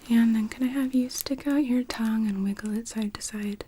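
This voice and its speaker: A young woman whispers gently as a doctor running an ASMR exam. Her low breathy voice stays mellow and warm, and she guides each step calmly and slowly. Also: slight background noise. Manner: soft whispering, calm step-by-step instructions. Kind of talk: ASMR medical exam roleplay.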